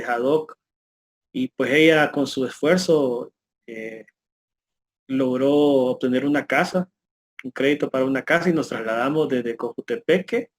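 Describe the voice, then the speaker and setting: A man in his late thirties with a Salvadoran accent gives a spontaneous personal testimony, halting and repeating words as he goes. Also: medium pitch, a little hiss or hum. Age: late 30s. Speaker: guest speaker giving a testimony.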